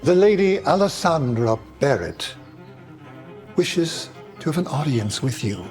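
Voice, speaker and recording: An actor playing a polite, measured butler in an audio drama, formal and courteous. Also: male voice, a little high, very animated, a little mellow, soft background music. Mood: wry, formal. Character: polite unhurried male butler to a duke.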